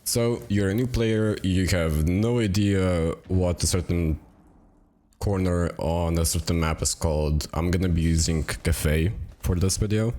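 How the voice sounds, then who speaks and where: A young man explaining a game tactic in a relaxed, rambling way, sounding raspy and low on energy from a cold. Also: measured pace. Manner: relaxed and rambling, with many fillers. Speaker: gaming video creator. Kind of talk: casual tutorial narration. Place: home apartment.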